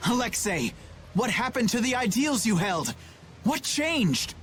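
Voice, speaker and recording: A man's voice: very high voice, very animated, a little slow, fairly bright tone, slight background noise, full character voice. A man voicing an idealistic young knight, righteous, formal and intense, in a video game cutscene. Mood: appalled.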